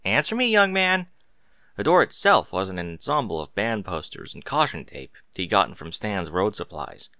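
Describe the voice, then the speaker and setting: Bright, animated male story narrator. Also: sits low, normal speed, hardly any pauses, dry recording, studio quality. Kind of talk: expressive scripted story narration.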